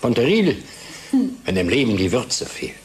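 Male actor, troubled nagging husband, exasperated, very lively intonation, medium pitch, radio play. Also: normal speed, faint music behind, close to an everyday voice. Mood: bitter. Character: troubled, nagging husband worn down by office politics. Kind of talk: German radio play acting.

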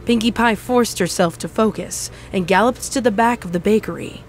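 A woman's voice: mid-range voice, normal speed, slight background noise. A woman reading scripted story narration.

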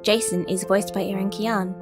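A woman reading the end credits of a podcast in a clear, even voice. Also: mid-range voice, normal speed. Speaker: credits announcer. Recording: voice over piano music.

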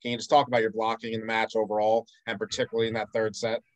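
A man's voice: lowish voice, monotone, rapid-fire, no echo, poor audio. A man moderating a sports press conference, calling on reporters and asking questions.

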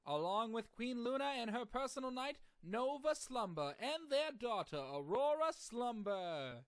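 A woman voicing an excitable cartoon pony announcer, giving showy play-by-play of a royal event. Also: a little high, a little slow, no echo. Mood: proud announcing.

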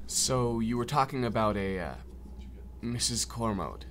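An actor playing a shaken war veteran who interviews survivors in a radio drama. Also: male voice, mid-range voice, animated, a little slow, a bit bright. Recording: audible background noise.

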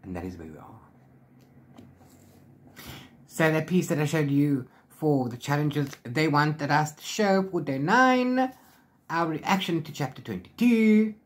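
A man's voice: fairly high pitch, unhurried, pauses often. Middle-aged man, rambling casual book chat. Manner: rambling, animated retelling with filler sing-song syllables. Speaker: book reviewer vlogger. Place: small book-lined room at home.

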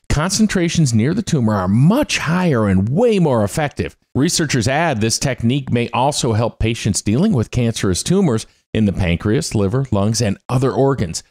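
A man's voice: mid-range voice, darkish tone. A middle-aged man hosting a science news show, reading upbeat scripted news from a small home studio on a close mic. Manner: upbeat, exclaiming. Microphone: close studio mic on arm.

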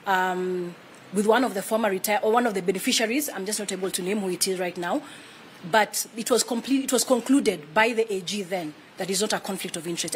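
Fast-talking Kenyan woman, formal and measured. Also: mid-range voice, a little hiss or hum. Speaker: spokesperson for a retired president's office. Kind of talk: press conference answers. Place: indoor room with flags, press briefing.

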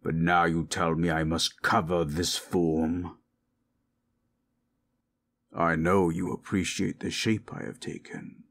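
A man voicing a proud, possessive forest monster in human shape, gravelly and husky, speaking in stilted, imperious phrases for an audio roleplay. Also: sits low, level tone, a bit bright, exaggerated voice. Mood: curious, teasing. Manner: slow, imperious, formal. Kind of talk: dramatic audio roleplay, stilted formal speech.

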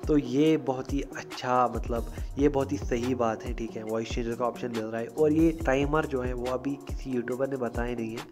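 भारतीय लहजे वाला आदमी, पीछे संगीत. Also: male voice, mid-range voice, steady tone, normal speed, few pauses. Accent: Indian. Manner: informal, repeatedly checks in with a tag phrase. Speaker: YouTube tech tutorial host. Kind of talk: casual screen-recording tutorial voice-over. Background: music.

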